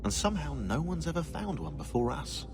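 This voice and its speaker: An actor playing a snide, excitable, pedantic British historian who rambles sarcastically in a video game. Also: male voice, fairly high pitch, a little fast, gravelly, over music. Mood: dry, musing.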